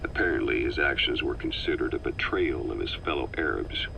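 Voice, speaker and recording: A composed, worldly military operative. His voice is low with a hard, bright edge, measured and matter-of-fact as he briefs a comrade in a video game, over faint tape noise. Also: vocal fry, heavily acted, poor audio.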